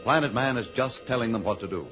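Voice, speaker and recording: A man's voice: mid-range voice, quick pace, close to an everyday voice. A man playing the announcer of an old-time space adventure radio serial, narrating in a grand, emphatic style. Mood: dramatic, urgent. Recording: muffled vintage radio recording with music.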